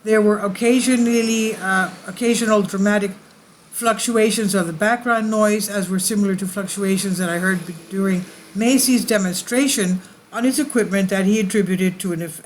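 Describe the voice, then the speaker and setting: A woman's voice: medium pitch, normal speed, a little hiss or hum, rough recording. A woman hosting her channel, reading an article aloud and often stumbling and correcting herself mid-sentence. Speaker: channel host.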